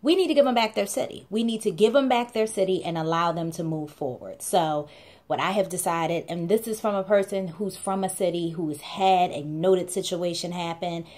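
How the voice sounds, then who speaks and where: A woman's voice: on the low side, normal speed, darkish tone. A young woman talks straight into her camera from a small room. Her delivery is chatty and playful, with emphatic repeated phrases that drive each point home. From Baltimore, USA. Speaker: blog co-host. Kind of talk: casual talking-to-camera vlog.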